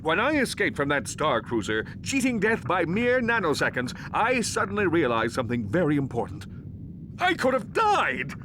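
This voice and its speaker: A man's voice: huge pitch swings, moderate pace. A man voicing a vain, cowardly, muscle-bound space superhero in a pompous, melodramatic manner for a video game cutscene. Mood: melodramatic, self-pitying. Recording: noisy game audio with rumble.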